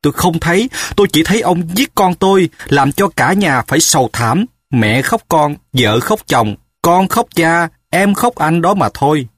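A man's voice: mid-range voice, unhurried, slightly rough, no echo, studio quality. A man with a Southern Vietnamese accent voicing a grieving middle-aged mother who bitterly reproaches her husband in raised, pleading outbursts. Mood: anguished reproach.

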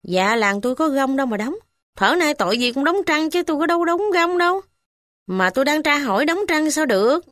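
A woman's voice: sits high, lively intonation, a little fast, a bit bright, no room sound, studio quality, voice pushed up. Give me a deferential, placating village official man, read by a woman.

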